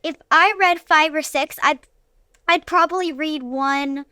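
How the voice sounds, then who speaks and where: A young girl answering interview questions casually, in a hesitant, halting way. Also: high voice, bright, forceful tone, no room sound, clean studio sound. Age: child.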